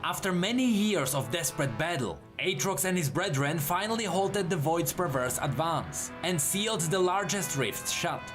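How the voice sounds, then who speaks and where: Man, high voice, lively pitch swings, dramatic lore narrator, scripted storytelling, faint music behind. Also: moderate pace, hardly any pauses.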